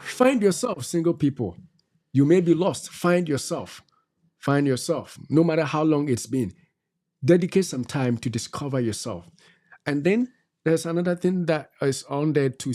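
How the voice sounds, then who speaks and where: A middle-aged man cohosting a talk show, his voice mellow and dark in tone. He preaches at his listeners, hammering home each point by saying it again. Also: fairly high pitch. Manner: preachy, exhorting, repeats key phrases. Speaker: co-host. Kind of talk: faith-based advice talk.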